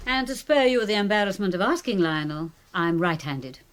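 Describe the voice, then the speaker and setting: An actress playing a composed, authoritative British doctor in a radio murder mystery, brisk and matter-of-fact. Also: female voice, medium pitch, expressive, close to an everyday voice. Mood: dryly teasing. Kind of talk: radio drama acting.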